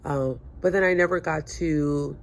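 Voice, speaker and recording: A woman's voice: sits low, measured pace, gravelly, slight background noise. Chatty hungover woman vlogging at home. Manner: chatty, rambling, self-mocking. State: stuffy and hungover. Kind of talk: casual chat to camera. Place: home room by windows. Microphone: phone held or propped.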